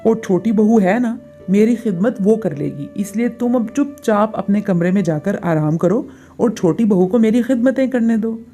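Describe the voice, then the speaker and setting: A bossy older auntie in a family storybook tale, acted by a woman. She orders and finds fault in a soft-edged, mid-pitched voice, with music underneath. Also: moderate pace, close to an everyday voice. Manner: commanding, complaining. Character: bossy, demanding, fault-finding older visiting aunt.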